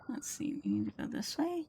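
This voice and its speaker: A woman's voice: a little high, gravelly, warm tone. A woman streamer giving casual, off-the-cuff commentary while playing a video game. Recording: game music behind.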